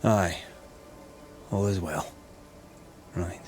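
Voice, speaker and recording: An actor playing a brooding, guilt-ridden banisher of ghosts in a video game, earnest and understated. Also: male voice, sits low, steady tone, drawn-out words, music in the background. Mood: subdued, reassuring. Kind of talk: naturalistic game drama acting.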